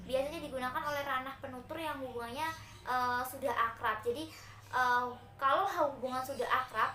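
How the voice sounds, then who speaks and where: Bright-voiced young woman presenting to class. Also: sits high, roomy sound, slight background noise. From Central Java, Indonesia. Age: young adult. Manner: explanatory, addresses classmates, frequent hesitation fillers. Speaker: university student presenter.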